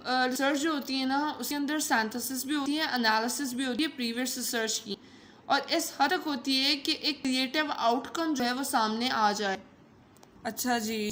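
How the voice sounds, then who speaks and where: High-pitched Pakistani woman teaching through a voice changer. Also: fairly bright tone, slight background noise. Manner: playful, code-switching, conversational. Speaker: teacher and channel host. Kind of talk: informal explanatory lecture.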